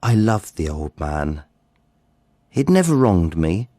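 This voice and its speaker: A nervous madman confessing to murder in a Gothic tale. His theatrical delivery is low and very animated, sliding between hushed secrecy and excited insistence. The sound is close and dry. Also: slow, no echo, full character voice. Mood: brooding, deliberate. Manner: theatrical, drops into breathy whispers, swings to excited outbursts. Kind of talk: dramatic Gothic short story reading.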